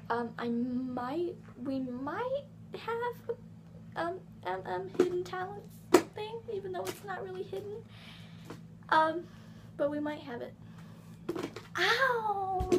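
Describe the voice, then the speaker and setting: A woman's voice: sits high, noisy background. A fourteen-year-old girl from England with a slight Southern US accent, chatting bubbly to camera at home with lots of ums. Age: teens. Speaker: vlogger. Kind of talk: casual chat to camera. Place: living room at home, indoors. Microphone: device camera on table.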